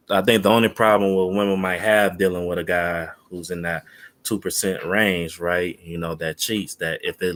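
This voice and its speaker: Low-voiced, laid-back man on a podcast. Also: a bit bright. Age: 30s. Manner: laid-back, rambling, full of fillers. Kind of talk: casual podcast chat.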